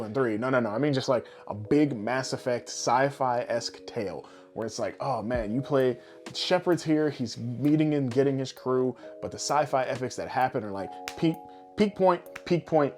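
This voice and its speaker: A man co-hosting a podcast in casual, animated chat, swearing freely. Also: mid-range voice, normal speed, soft background music. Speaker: podcast co-host. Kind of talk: casual chat about games and films.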